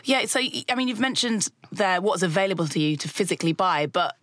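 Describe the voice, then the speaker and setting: A woman hosting a conversational interview, animated and quick to affirm her guests with short interjections. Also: fairly low pitch, dry recording. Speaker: podcast host.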